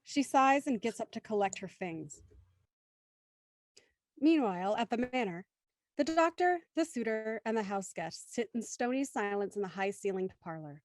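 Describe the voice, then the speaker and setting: A woman's voice: mid-range voice, lively intonation, moderate pace, no room sound, lightly acted. A woman narrating a scripted audio drama as its stage-direction narrator.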